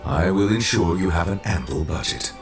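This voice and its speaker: A man's voice: sits low, moderate pace, faint music behind, natural voice. A man voicing a dignified, courteous official in a video game, speaking in a stately, formal manner.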